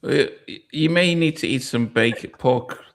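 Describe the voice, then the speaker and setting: A man's voice: mid-range voice, moderate pace, creaky. Rambling male podcast host. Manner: rambling, many fillers and restarts, laughs easily. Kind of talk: casual podcast chat.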